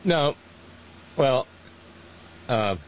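A man's voice: mid-range voice, lively intonation, extremely slow, slight background noise. Sarcastic man hosting a chat show. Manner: sarcastic, mocking, lots of restarts. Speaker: podcast host. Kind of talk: casual political chat.

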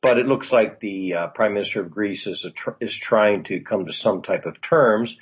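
A man's voice: on the low side, moderate pace, husky, lo-fi sound. A man who served in Congress, chatting about the news on a talk radio show in a rambling way, full of fillers and asides. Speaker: former congressman, political commentator. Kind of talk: political talk radio chat.

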